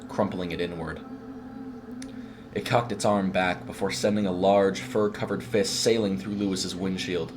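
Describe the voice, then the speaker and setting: A man reading a scripted horror short story as its narrator. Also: deep voice.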